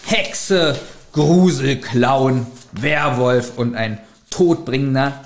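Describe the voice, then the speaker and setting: A man's voice: mid-range voice, very slow. A man co-hosting a podcast in casual, humorous chat, jokey and given to mock grumbling. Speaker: podcast co-host.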